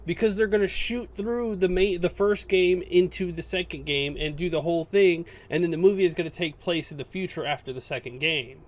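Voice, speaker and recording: Guy with a kinda high voice, casual podcast chat with friends. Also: fairly quick, hardly any pauses. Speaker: podcast co-host. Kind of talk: casual chat about games and films.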